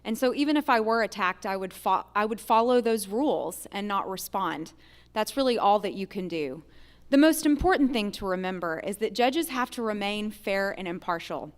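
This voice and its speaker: An American woman with a crisp, mid-pitched voice speaks as a candidate for judge. Her forum delivery is measured and earnest. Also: lively intonation. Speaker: judicial candidate.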